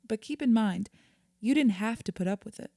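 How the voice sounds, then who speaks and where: A woman acting in an audio drama as a calm, patient therapist, speaking in a measured, reassuring, conversational way. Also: a little high, no room sound, studio quality.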